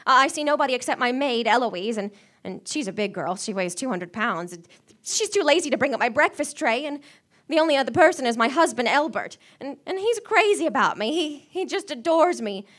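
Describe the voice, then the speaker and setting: A woman's voice: high voice, sing-song, brisk, a bit bright, heavily acted. A woman acting a fretful, demanding, self-pitying bedridden wife who stammers nervously, in live radio-thriller theater. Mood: flustered, nervous.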